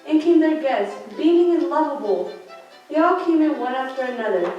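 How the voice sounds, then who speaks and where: A woman's voice: high-pitched, lively intonation, normal speed, muffled recording, soft background music. A young woman in her teens reading scripted storybook narration for a student radio play. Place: large school theater classroom, indoors. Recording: echoey room, distant mic, background noise.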